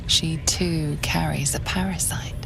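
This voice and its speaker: An actress playing a solemn storyteller, narrating a fantasy video game in a measured, portentous way. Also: female voice, sits low, fairly even intonation, gravelly, rough recording. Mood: solemn, ominous. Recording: game music behind.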